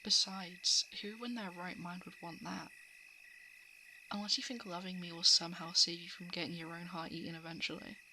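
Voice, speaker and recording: A woman's voice: fairly low pitch, fairly even intonation, moderate pace, close to an everyday voice. A woman playing a guarded, coolly predatory shapeshifting monster who eats hearts, in an ASMR roleplay monologue. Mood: dismissive, wistful. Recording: clear background noise of night insects, frogs. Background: crickets, frogs and night insect ambience.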